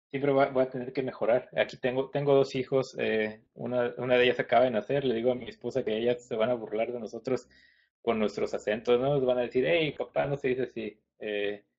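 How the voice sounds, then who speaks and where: A man's voice: mid-range voice, darkish tone. A Mexican man, likely in his thirties, speaking at an online conference with many fillers, restarts and stops. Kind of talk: webinar presentation. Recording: dull lo-fi webinar audio.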